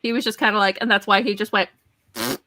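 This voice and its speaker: A woman's voice: mid-range voice, quick pace, sharp tone, a little hiss or hum. A woman chatting casually in a live book discussion, very colloquial and acting out reactions as she goes.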